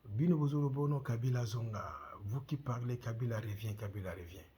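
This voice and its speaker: A man's voice: mid-range voice, fairly quick, dark tone, slightly rough. A middle-aged man from the Congo with a Congolese French accent, hosting his own channel and speaking passionately to camera with repeated rhetorical questions. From Democratic Republic of the Congo. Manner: impassioned, repetitive rhetorical questions. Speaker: channel host. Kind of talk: direct-to-camera commentary.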